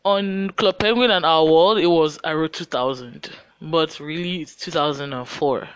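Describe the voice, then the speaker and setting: A man's voice: on the high side, normal speed, a bit bright, smooth, no room sound, low-quality recording. Tired Nigerian teen guy podcasting. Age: teens. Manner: chatty, rambling, self-mocking asides. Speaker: podcast host. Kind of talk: casual solo podcast, get-to-know-me questions.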